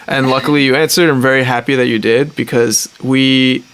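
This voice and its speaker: A man's voice: medium pitch, steady tone, a little fast, fairly bright tone, clear, resonant tone, poor audio. A man co-hosting a podcast, welcoming a guest in a rambling, eager way with many fillers. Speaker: podcast co-host. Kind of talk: casual podcast interview.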